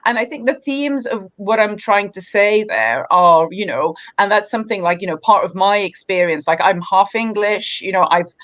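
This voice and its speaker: A woman from Egypt talks thoughtfully about her writing in a livestream chat. Her voice sits at an ordinary middle pitch, and she keeps slipping in "you know" as she thinks aloud. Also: moderate pace, hardly any pauses, fairly bright tone. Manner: reflective, many fillers like "you know". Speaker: author guest. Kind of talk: livestream conversation.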